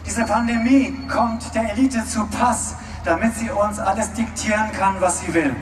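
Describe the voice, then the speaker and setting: A man interpreting a speech live, loud and emphatic, at times searching for words. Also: high-pitched, fairly even intonation, normal speed. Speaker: German interpreter at a rally. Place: outdoor mass rally. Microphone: public address system, recorded from a distance. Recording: distant echoing rally PA with crowd and music.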